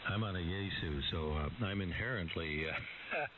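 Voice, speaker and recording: Very deep male ham operator, halting, distant tinny radio with hiss. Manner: halting, slow, many pauses. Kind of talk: casual radio chat.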